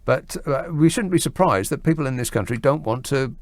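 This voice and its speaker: Late night radio guy musing away, wry, lively up and down voice, dry studio sound. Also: mid-range voice, brisk, no room sound. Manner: wry, musing, trails off and restarts. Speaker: radio phone-in host. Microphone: studio microphone.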